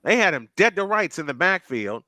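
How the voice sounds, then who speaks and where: Man, play-by-play announcer, bright forceful tone, slightly high voice, dry close mic. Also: sing-song, fairly quick, no room sound. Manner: rises sharply in pitch and volume on big plays, repeats phrases. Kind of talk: live football play-by-play commentary.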